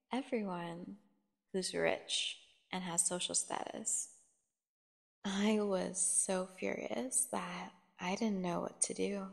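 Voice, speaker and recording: A woman playing a seductive, dangerous captive vampire in an ASMR fantasy horror roleplay, teasing and theatrical, with a creaky voice, speaking straight to the listener. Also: medium pitch. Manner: teasing, slow, theatrical, addressing the listener directly. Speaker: audio roleplay performer. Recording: long echoing reverb.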